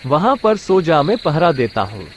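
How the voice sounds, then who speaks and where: Man as animated, confident village friend. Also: fairly high pitch, moderate pace, slight background noise. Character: clever, confident, reassuring young village friend. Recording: music behind the voice.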